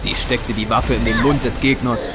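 A man's voice: mid-range voice, steady tone, normal speed, close to an everyday voice. A man narrating a horror action audio drama in a grave, scripted storytelling style. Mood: grave, suspenseful. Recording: music under the narration. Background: dramatic music.

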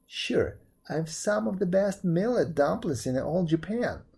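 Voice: mid-range voice, lively intonation, a little slow, creaky, dark tone, slightly rough, heavily acted. A storyteller voicing a brave, cheerful young hero boy in a storybook tale, confident and plain-spoken. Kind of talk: storybook character voice.

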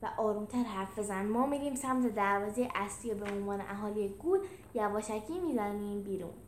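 A woman's voice: medium pitch, natural voice. A woman voicing a bold, passionate female animal leader with an urgent, dramatic manner in a storybook reading. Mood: hushed, urgent. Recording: roomy echo with some background noise.

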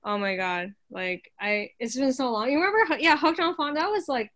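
A woman's voice: sits high, brisk, a bit bright, dry recording. A woman hosting a podcast, chatting in a bubbly, casual way full of fillers. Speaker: podcast host. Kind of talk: casual interview chat.

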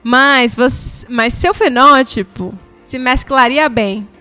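Female podcast host, Brazilian accent, lightly raised pitch, informal and expressive, faint music bed. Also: slow. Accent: Brazilian Portuguese. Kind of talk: casual group chat.

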